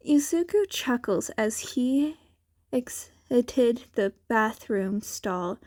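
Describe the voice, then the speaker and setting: A woman's voice: a little high, a little mellow, dry recording, studio quality. A woman reading fan fiction aloud as a scripted narration. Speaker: podfic reader.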